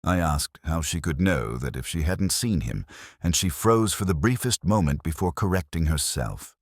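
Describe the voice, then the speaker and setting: Very deep man narrating a detective drama. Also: expressive, no room sound, studio quality. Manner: literary, measured, reflective, wry. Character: weary, introspective male English police inspector, middle-aged. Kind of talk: scripted first-person detective narration in audio drama.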